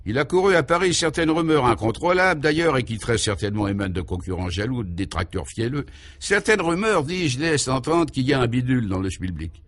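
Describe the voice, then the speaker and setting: A man's voice: medium pitch, very animated, a little fast, fairly bright tone, no room sound. A man voicing an earnest, polite reporter who asks probing questions with some hesitation in a comic radio serial. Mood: cautious, formal.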